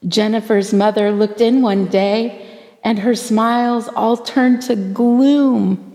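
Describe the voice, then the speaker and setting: A woman with a fairly high voice reads a rhyming storybook to children, taking her time over each phrase. Her delivery is theatrical, with deliberate emphasis on key words. A long reverb trails behind her voice. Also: steady tone, mellow. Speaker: pastor reading a children's story aloud. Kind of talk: expressive storybook read-aloud in rhyming verse.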